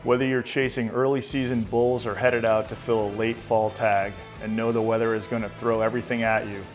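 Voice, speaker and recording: A man with a somewhat low voice gives a smooth, salesy, scripted read of a product ad. Clear background music runs under his voice-over. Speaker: ad narrator. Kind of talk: scripted promotional narration.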